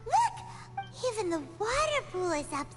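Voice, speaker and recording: A tiny, chirpy companion creature from a video game, extremely high and squeaky. The delivery is curious and swoops around, with loud music behind it. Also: female voice, huge pitch swings, moderate pace, big-room sound, full character voice. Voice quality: squeaky, childlike.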